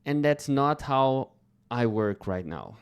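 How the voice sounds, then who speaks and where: A young man from Brazil, a Portuguese native speaker with a Brazilian accent, teaching English slowly and carefully to learners. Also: mid-range voice, animated, clear, resonant tone. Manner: slow, careful, deliberate phrasing with pauses. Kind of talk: slow English lesson talk.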